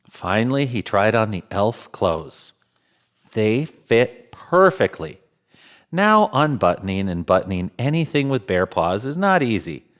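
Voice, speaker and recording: A man reading a children's storybook aloud as a jolly storyteller, with unhurried, dramatic phrasing. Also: medium pitch. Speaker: storyteller in Santa persona.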